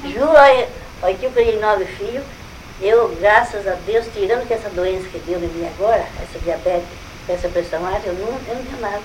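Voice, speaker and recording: Fast-talking older Brazilian woman. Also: mid-range voice, a little hiss or hum, low-quality recording. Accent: Brazilian Portuguese. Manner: lively storytelling, exclaims and repeats herself. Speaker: family elder recalling relatives. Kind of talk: casual family conversation.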